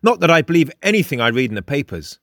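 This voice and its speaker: A man's voice: on the high side, sing-song, fast, fairly bright tone, no echo, clean studio sound, voice pushed up. A man voicing a smug, theatrical young male mystic in a storybook, declaiming with pompous emphasis. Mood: knowing, urgent. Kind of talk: audiobook character dialogue.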